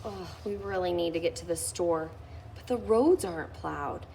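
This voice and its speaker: A woman's voice: fairly low pitch, normal speed, vocal fry, warm tone, a little hiss or hum. A woman voicing a tired, frustrated parent stuck in a snowed-in house during a storybook reading.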